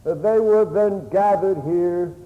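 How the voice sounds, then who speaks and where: Slow, dramatic male preacher in an echoing hall. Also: high voice, phone-quality sound. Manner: solemn, emphatic storytelling with short halting phrases. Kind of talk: dramatic oratorical Bible sermon. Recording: echoey hall with long reverb.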